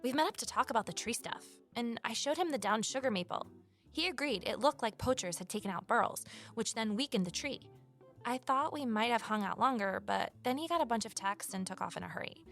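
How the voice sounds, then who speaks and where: Cautious woman sleuth, higher voice. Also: expressive, a little fast, vocal fry, a bit bright, soft background music. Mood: matter-of-fact. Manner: cautious, matter-of-fact. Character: wary amateur sleuth, woman, former journalist. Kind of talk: naturalistic audio drama dialogue.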